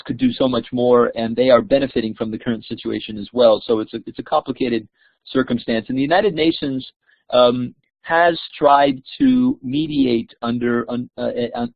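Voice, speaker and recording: A man's voice: mid-range voice, creaky, no room sound. A man, a scholar, explaining at length in an online discussion, hesitant with frequent "um" and "uh". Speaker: scholar guest. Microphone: online call audio, video off. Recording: dry, tinny phone-like line.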